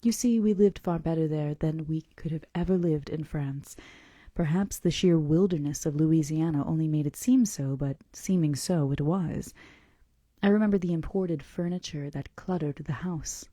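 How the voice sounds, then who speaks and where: A woman reading the part of a calm, melancholy male vampire who tells of his past in a gentle, measured, confidential way. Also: low-pitched, no room sound, clean studio sound. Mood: wistful, reflective. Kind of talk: audiobook-style character reading.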